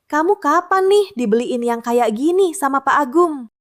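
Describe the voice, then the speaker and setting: A woman's voice: high voice, animated, normal speed. A woman voicing a boastful, gossiping village neighbor who teases and giggles in a showy way.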